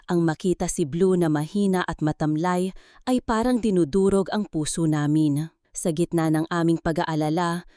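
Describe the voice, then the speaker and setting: A woman's voice: lowish voice, moderate pace, mellow, dry recording, studio quality. A woman narrating a scripted dramatic story in the first person, with an earnest, sentimental delivery.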